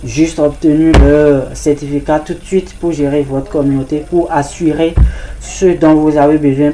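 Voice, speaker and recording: Woman presenter, warm dark tone, halting informal delivery full of fillers. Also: sits low, a little hiss or hum, poor audio. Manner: hesitant, with frequent restarts and "voilà" fillers. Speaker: presenter of a crypto ambassador training service.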